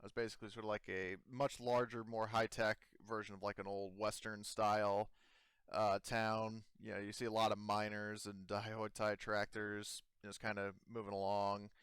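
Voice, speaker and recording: A man narrates a tabletop game as its game master. His voice is low and smooth, with a close, dry sound. He improvises informally, feeling his way through each phrase. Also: flat delivery, fairly bright tone, dry recording. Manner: halting, informal.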